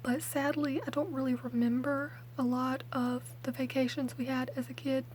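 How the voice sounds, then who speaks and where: A young woman, speaking softly and often whispering in a chatty, hesitant ASMR storytelling style. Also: high-pitched, little pitch movement, moderate pace, slight background noise. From Mississippi, USA. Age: late teens. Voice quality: soft, hushed, often whispered. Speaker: ASMR video creator. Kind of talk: ASMR, rambling personal storytelling.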